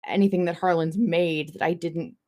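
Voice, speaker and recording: A woman's voice: on the low side, steady tone, moderate pace, warm tone. A woman co-hosting a podcast, talking casually through her thoughts on a story. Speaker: podcast co-host. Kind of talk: casual discussion of a fiction podcast.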